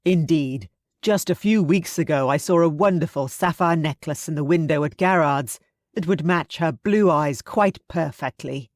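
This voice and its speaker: Cheery young gent announcing to his guests, loud and pleased, woman reader voicing him. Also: deep voice, normal speed, no echo, studio quality, close to an everyday voice. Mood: jovial. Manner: jovial, proud. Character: jovial cheerful young gentleman host.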